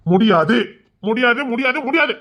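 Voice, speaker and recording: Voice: mid-range voice, expressive, normal speed, lo-fi sound, natural voice. A performer playing a stubborn, threatening young person in an amateur melodrama, insistent and badgering.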